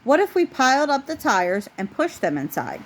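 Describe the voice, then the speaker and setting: A woman's voice: high-pitched, big pitch swings, moderate pace, slight background noise. A woman voicing an earnest, apologetic male race car from a children's storybook in a plain manner. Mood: earnest, sorry.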